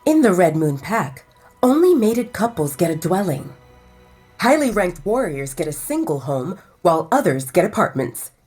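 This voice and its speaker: Lady doing grumbly young guy from a werewolf audio drama, low husky voice, dry and serious. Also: very animated, normal speed, a little mellow, soft background music. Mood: serious, informing. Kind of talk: audiobook character voice over music.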